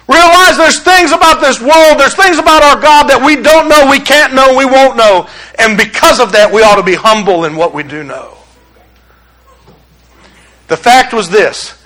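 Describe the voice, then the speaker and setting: A man's voice: very high voice, sharp tone, poor audio. A man from Detroit preaching a sermon as pastor, conversational, folksy and openly sarcastic. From Detroit, USA.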